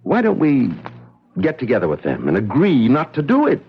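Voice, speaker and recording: An actor playing an earnest, principled scientist in a 1970s radio drama, hesitant at times and emphatic. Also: male voice, medium pitch, wildly swooping pitch, moderate pace, slightly rough, narrow, tinny audio, exaggerated voice. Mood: earnest pleading. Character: anguished, principled male scientist resisting orders.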